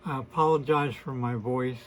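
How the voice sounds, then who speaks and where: A man in his sixties with a hoarse, weakened voice, hosting a casual to-camera vlog and talking in a hesitant, apologetic way with many fillers and restarts. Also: medium pitch, fairly even intonation, moderate pace, a little hiss or hum, low-quality recording. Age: 60s. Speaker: host of a beard channel.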